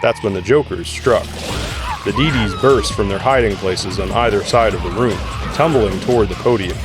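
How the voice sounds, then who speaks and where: A man narrates an audio drama in scripted prose. His voice sits on the low side, with music playing softly behind him. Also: normal speed.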